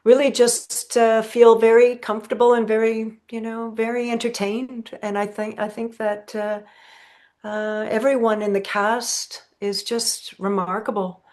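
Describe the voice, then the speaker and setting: A woman, an actress speaking as an interview guest, earnest and emphatic with a halting, searching delivery. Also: on the high side, level tone, darkish tone. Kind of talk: casual interview chat.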